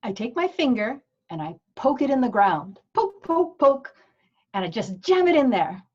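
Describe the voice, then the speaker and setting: A woman's voice: fairly high pitch, very animated, normal speed, husky. A woman playing an earthy, zealous religious sister in a comic table read, telling things with rapturous emphasis. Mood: rapturous, earnest. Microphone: video call. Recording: dull, lo-fi online call audio.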